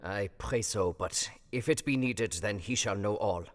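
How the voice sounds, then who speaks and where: An actor playing a wise older professor in an audio drama, kindly and grave, with emphatic, halting counsel in foreign phrasing. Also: male voice, lowish voice, natural voice. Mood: cautioning, grave. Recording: long reverb, music behind. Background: guitar music.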